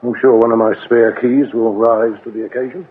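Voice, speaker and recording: A man's voice: medium pitch, steady tone, a little fast, close to an everyday voice. An actor playing a senior police detective in a radio drama, dryly sarcastic and commanding with his men. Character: sardonic senior male police detective, dry and bossy. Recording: muffled phone-like radio drama recording, faint noise.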